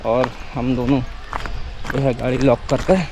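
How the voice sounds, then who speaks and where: A man's voice: medium pitch, level tone, measured pace. A middle-aged man walks a hillside trail toward a waterfall, narrating as a family vlogger and pointing out sights to viewers. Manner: guiding viewers, repeatedly pointing things out. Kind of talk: walking tour commentary. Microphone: handheld phone at arm's length. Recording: loud outdoor noise.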